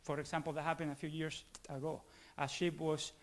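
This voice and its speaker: Halting, formal man asking a long question. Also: fairly high pitch, little pitch movement. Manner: formal, halting, searching for words. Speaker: audience member asking a question. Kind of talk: audience Q&A question.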